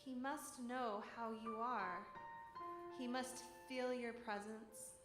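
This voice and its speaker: A woman delivering a scripted dramatic monologue in church, deliberate and reflective. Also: fairly high pitch, fairly even intonation. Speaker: dramatic reader. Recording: echoey church hall with music. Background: music.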